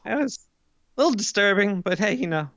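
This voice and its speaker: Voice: mid-range voice, expressive, fairly quick, a bit bright, no room sound. A performer voicing a paranoid cartoon rat podcaster, chatting in a crude, rambling, jokey way on muffled audio. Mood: jokey, curious. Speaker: podcast guest. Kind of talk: casual improvised chat in character.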